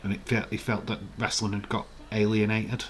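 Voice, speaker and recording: Croaky chatty man streaming. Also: lowish voice, little pitch movement, normal speed. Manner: chatty, rambling, reads out viewer comments. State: hoarse, croaky voice. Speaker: live stream host. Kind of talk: casual live-stream chat.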